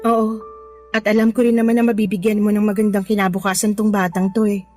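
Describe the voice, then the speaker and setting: A woman's voice: medium pitch, flat delivery, fast talker, a little mellow, soft background music, close to an everyday voice. A woman with a Filipino accent playing a coaxing, sweet-talking, money-minded young mistress in a Tagalog radio drama. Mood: pleading.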